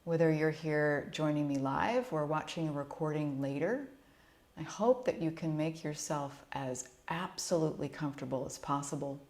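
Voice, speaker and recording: A woman with a low-pitched voice leads a guided relaxation practice. She speaks as a yoga nidra instructor, giving gentle, unhurried instructions in a soothing manner.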